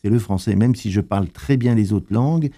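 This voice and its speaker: An older man answering interview questions, hesitant and full of pauses and fillers. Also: fairly low pitch, mellow. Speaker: interviewee, translator.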